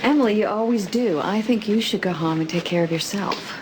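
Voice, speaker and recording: A woman's voice: medium pitch, a little fast, close to an everyday voice. A woman playing a frank, protective friend in a soap opera. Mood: concerned, firm. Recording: noticeable background noise.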